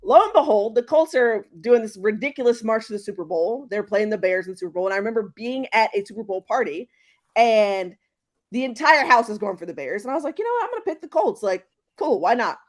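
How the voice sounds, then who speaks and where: A woman's voice: on the high side, animated, fast. A woman from Houston hosting a sports podcast, chatting casually with lots of fillers and restarts. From Houston, Texas. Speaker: podcast host.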